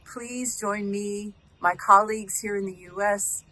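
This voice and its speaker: A woman with a medium-pitched voice reads a prepared message of support. Her delivery is formal and proud. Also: fairly even intonation, a little slow, pushed and bright. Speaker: mentor giving a recorded message.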